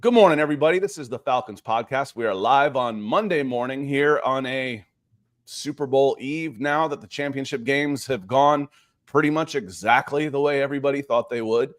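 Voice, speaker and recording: A man's voice: mid-range voice, normal speed, dry recording, clean studio sound. A man in his fifties hosting a casual live sports podcast, chatting conversationally with frequent asides. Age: 50s. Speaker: podcast host.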